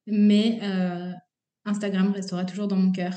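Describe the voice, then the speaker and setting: A woman guest on a podcast speaks in a relaxed, easy way and often ends her thoughts with a light laugh. Her voice is mellow. It rings in a roomy space. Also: mid-range voice, unhurried. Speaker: podcast guest, communication trainer. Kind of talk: casual interview.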